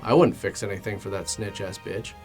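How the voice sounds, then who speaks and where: A man's voice: on the low side, steady tone, a little fast, over music. A man narrating a comedic film recap in a sarcastic, mock-dramatic and casually crude way. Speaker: host of a film commentary show.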